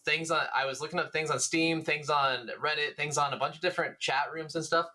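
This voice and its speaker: A man's voice: a little high, steady tone, fairly quick, sharp tone. A man co-hosting a gaming podcast, talking in a casual, rambling, enthusiastic way with the odd swear word. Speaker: podcast co-host.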